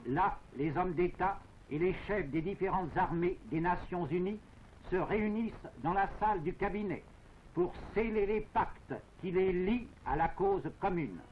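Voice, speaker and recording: A man delivering formal, measured, scripted narration in the declamatory style of a 1940s propaganda film. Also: high-pitched, darkish tone, a little hiss or hum, low-quality recording. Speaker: newsreel narrator. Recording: 1940s film soundtrack with music. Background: music.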